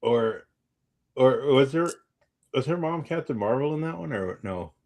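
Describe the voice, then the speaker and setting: A man's voice: medium pitch, lively intonation, a little slow, darkish tone. A man co-hosting a movie discussion, chatting casually in a rambling way as he searches for words. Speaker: co-host of a movie discussion show. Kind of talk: casual chat.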